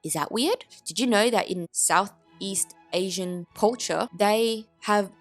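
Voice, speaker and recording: A woman's voice: mid-range voice, takes it slowly, soft background music. An Australian woman with an Australian accent, giving blunt, opinionated commentary full of indignant rhetorical questions. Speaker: commentary vlogger.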